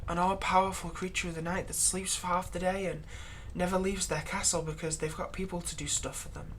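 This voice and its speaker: Woman acting an aggrieved vampire's thrall, low-pitched, noisy recording. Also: little pitch movement, close to an everyday voice. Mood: weary, regretful. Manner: conversational, halting, sardonic, emphatic when aggrieved. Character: long-serving human thrall, exasperated, pleading, turning menacing toward vampire master. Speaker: roleplay audio performer. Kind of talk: dramatic ASMR roleplay monologue.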